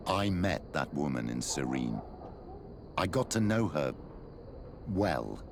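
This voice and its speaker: An actor playing a concerned male companion in a video game, with a gravelly voice. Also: a bass voice, sing-song, takes it slowly, background noise, heavily acted. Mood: earnest. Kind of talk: video game cutscene acting.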